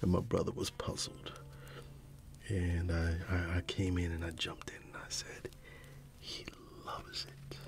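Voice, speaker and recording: A middle-aged man whispering softly in an ASMR roleplay, reminiscing with gentle chuckles. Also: low-pitched, completely flat delivery, a little slow. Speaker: ASMR creator. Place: dim room at home.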